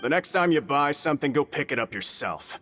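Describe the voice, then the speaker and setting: An actor voicing a grumbling courier in a video game. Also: male voice, on the high side, expressive, brisk, sharp tone, soft background music. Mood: grumpy. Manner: gruff, grumbling. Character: grumpy male courier dropping off a package. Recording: game audio with hall reverb.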